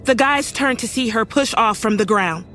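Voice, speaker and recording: A woman's voice: fairly high pitch, normal speed, a bit bright, lightly acted. A woman performing suspenseful first-person horror narration as an uneasy young woman recounting a horrifying night. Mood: horrified. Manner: serious, suspenseful storytelling. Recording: music faintly under the voice.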